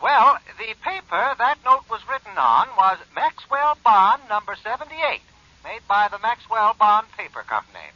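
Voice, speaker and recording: An actor playing a pedantic handwriting expert on the telephone, in a 1940s radio drama. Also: male voice, sits high, big pitch swings, normal speed, bright, forceful tone, no echo, exaggerated voice. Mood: smug. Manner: precise, pedantic, heard over a telephone. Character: fussy male handwriting expert on the phone. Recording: dull old radio recording, dry phone line.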